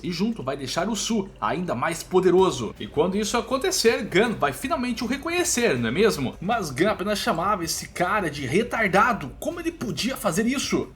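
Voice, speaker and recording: A man's voice: high voice, fairly bright tone, slight background noise. A Brazilian man narrating a scripted story recap in a dramatic, colloquial storytelling manner. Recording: faint music under the voice. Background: faint background music.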